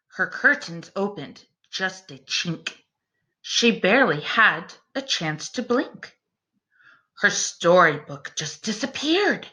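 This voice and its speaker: Animated, bright-voiced woman reading to children. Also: medium pitch. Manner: expressive, deliberate, addressing young listeners directly. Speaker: teacher reading a picture book aloud to children. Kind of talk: animated children's read-aloud with questions to listeners.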